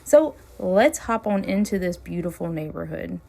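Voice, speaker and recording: A woman realtor, chatting casually while driving, her voice hoarse and scratchy as she loses it. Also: lowish voice, lively intonation, moderate pace, darkish tone. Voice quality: gravelly, hoarse. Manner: friendly, chatty, upbeat sales talk. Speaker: realtor hosting a neighborhood tour channel. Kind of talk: casual driving-tour vlog talk. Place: inside a car, driving.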